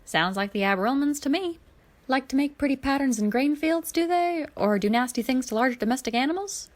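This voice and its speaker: A woman's voice: high-pitched, expressive, a little fast, pitched above the performer's own voice. A woman voicing a cheerful, chatty older alien man who rambles and exclaims in a fan fiction reading. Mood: amused, nostalgic.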